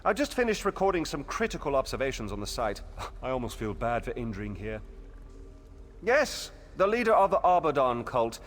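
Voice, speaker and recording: Eccentric old wizard, wildly animated pitch. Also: male voice, normal speed, soft background music. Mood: dismissive, amused. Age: older. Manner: cheerful, pompous, blithely unconcerned lecturing. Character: eccentric, absent-minded old male wizard scholar. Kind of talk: theatrical video game dialogue. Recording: echoing long reverb.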